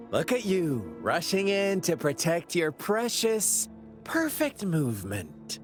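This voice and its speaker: A man's voice: fairly high pitch, huge pitch swings, slow, full character voice. An actor playing a sly, mocking young male villain in a game cutscene, teasing and taunting. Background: game music.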